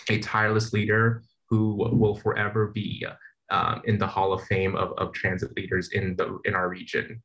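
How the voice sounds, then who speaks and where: Young man, somewhat low voice, earnest and formal, small restarts; webcam audio from a plain room. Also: a bit bright, poor audio. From San Francisco Bay Area. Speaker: award recipient. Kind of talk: prepared acceptance speech. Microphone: video call webcam.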